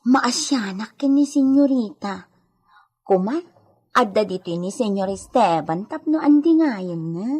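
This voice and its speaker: A woman's voice: mid-range voice, animated, a little slow, darkish tone, slightly rough. A woman acting an eager, coaxing female helper who tends a sick lady in an Ilocano radio drama. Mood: friendly. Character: Edita, caring chatty female helper tending a sick lady. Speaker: voice actor.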